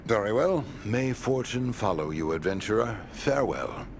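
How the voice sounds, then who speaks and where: A man playing a stern, dignified old quest-giver in a fantasy video game, speaking formally and deliberately. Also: lowish voice, lively intonation. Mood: approving, formal. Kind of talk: video game character acting. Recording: clearly noisy game capture.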